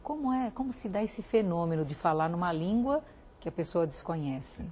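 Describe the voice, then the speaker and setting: Low, mellow-voiced woman interviewer. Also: animated, moderate pace, slight background noise. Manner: attentive, brief affirmations. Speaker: interviewer, program host. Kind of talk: interview questions.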